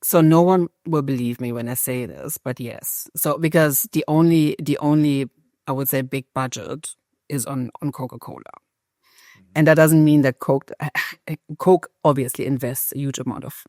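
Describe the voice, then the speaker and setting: A middle-aged woman with a German accent, a marketing executive talking candidly as an interview guest, lively and self-deprecating with frequent restarts. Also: extremely low pitch, moderate pace, no echo, clean studio sound.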